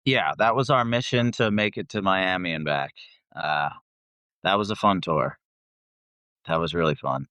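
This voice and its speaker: A man's voice: deep voice, measured pace, pauses often, bright, forceful tone, smooth, no room sound. A man who sings in a band, chatting as a guest and telling tour stories in a laid-back, slangy, sweary way. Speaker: band vocalist, interview guest.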